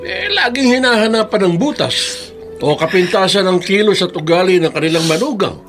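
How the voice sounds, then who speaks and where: A man's voice: high voice, lively intonation, fairly quick, fairly bright tone, soft background music. An actor in a radio drama playing a concerned, plain-spoken older father with a hesitant, folksy, advising manner. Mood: sagely advising.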